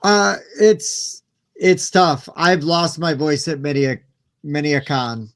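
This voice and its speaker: A man hosts a casual podcast, talking with an effusive, joking ease. His voice sits somewhat high for a man, and he tends to gush his appreciation for the guest between quips. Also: steady tone, slow. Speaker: show host.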